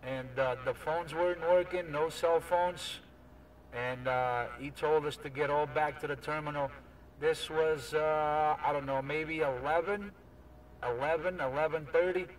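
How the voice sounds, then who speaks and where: A man's fairly high voice comes over CB radio, sounding distant with some faint noise behind it. He talks casually, like a trucker telling stories to another operator. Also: fairly even intonation, measured pace. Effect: CB radio transmission.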